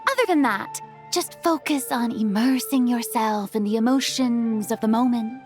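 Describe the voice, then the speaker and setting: A woman's voice: on the high side, moderate pace, faint music behind, lightly acted. A woman playing an exuberant, theatrical young film director in an anime game dub, encouraging and commanding. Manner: crisp commanding calls, effusive praise. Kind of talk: English-dubbed anime game acting.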